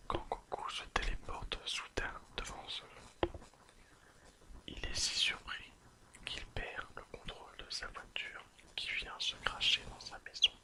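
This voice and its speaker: Casting: ASMR reader, whispers throughout, very slow and soothing delivery. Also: low-quality recording. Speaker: ASMR creator. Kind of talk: whispered ASMR reading of an episode summary.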